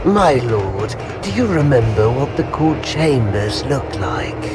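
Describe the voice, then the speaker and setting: Sly, slow-talking sorcerer's servant. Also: male voice, medium pitch, sing-song. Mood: fawning, sly. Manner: cackling, obsequious, theatrical. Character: gleeful, sly, fawning sorcerer servant, male. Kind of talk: fantasy audio drama acting. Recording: loud music bed behind voice.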